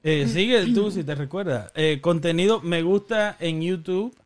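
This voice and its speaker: A man's voice: a little high, normal speed. A man with a Dominican accent hosting a podcast, chatting casually and joking around. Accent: Dominican Spanish. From Dominican Republic. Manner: joking, animated, teasing. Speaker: podcast host.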